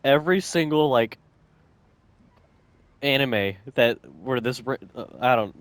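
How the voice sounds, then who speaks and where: Low-voiced, lively woman gamer. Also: slow pace, no echo. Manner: slangy, amused, giggly. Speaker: gaming content creator. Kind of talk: casual playthrough commentary. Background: game music.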